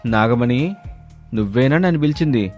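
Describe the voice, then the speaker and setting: A man's voice: medium pitch, animated, moderate pace, a little mellow, soft background music, close to an everyday voice. A man playing a teasing, suspicious young village husband in a comic story. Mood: puzzled, suspicious.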